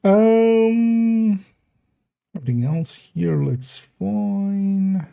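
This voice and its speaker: A young man from the Netherlands, streaming live and thinking aloud in a relaxed, meandering way while reviewing his work. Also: high-pitched, drawn-out words, vocal fry, clean tone. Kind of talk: casual live-stream commentary.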